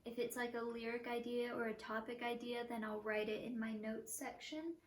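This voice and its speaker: A woman's voice: on the high side, steady tone, normal speed, far from the mic, slight background noise. A young woman from Portland, Oregon, chatting casually as a guest musician, self-deprecating and laughing easily. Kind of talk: casual chat. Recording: echoey room, a bit off-mic.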